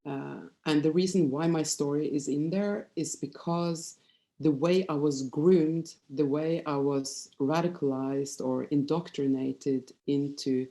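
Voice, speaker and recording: A Norwegian-accented woman in her fifties speaks with a low, soft-edged, mellow voice. She presents like a psychologist sharing personal experience at an informal talk. Her delivery is candid and hesitant, halting as she finds her words. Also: gravelly. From Norway. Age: 50s.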